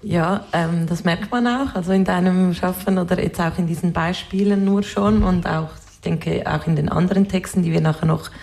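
A woman hosts a conversational interview. Her voice sits slightly low and has noticeable creak. She feels her way into questions, rewording them as she talks. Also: steady tone, moderate pace, few pauses, a little mellow. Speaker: interviewer, host.

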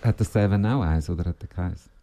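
A man's voice: deep voice, a little fast, creaky, dark tone, slight background noise. A man hosting a radio interview, asking long chatty questions. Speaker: radio host.

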